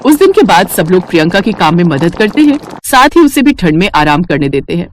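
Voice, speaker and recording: A woman's voice: on the low side, sing-song, brisk, slight background noise. A woman reading scripted moral-story narration as the storyteller.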